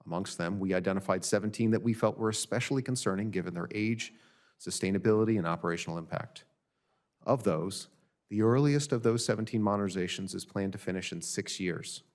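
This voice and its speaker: A man reading a prepared formal statement as an expert witness at a government hearing, in a measured, matter-of-fact way. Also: lowish voice, a little mellow. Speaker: government audit director testifying as a witness. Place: legislative hearing room.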